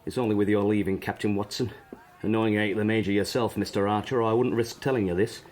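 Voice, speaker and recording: An actor playing a polite, quietly scheming British army sergeant, deferential and measured, with a British accent. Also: male voice, lowish voice, fairly even intonation, lightly acted. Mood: cold, earnest. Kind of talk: radio drama acting.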